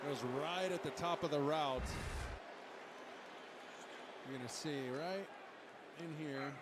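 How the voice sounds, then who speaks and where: Higher-voiced male football analyst. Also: slow pace, heavy background noise. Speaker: color analyst on a game broadcast.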